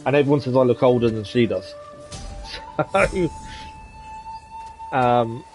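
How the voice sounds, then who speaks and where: A man's voice: mid-range voice, animated, unhurried, a little mellow, soft background music. A man in his thirties giving live gameplay commentary, chatting with viewers and muttering at enemies as he plays. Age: 30s. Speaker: streamer.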